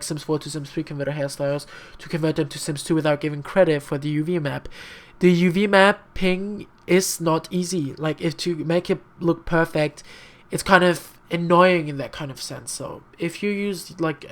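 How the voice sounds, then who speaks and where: Young non-native english speaker doing a casual screen tutorial, rambly, says um and like a lot, keeps apologizing, bit sassy. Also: medium pitch. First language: not English. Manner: rambling and chatty, many fillers, often apologizing; frank, slightly sassy asides. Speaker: tutorial maker.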